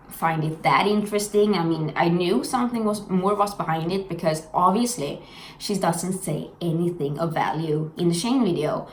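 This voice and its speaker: Young Swedish-accented woman vlogger, frank and earnest; low-ish voice, echoey room. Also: gravelly. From Sweden. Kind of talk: candid personal commentary. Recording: echoey room with faint noise.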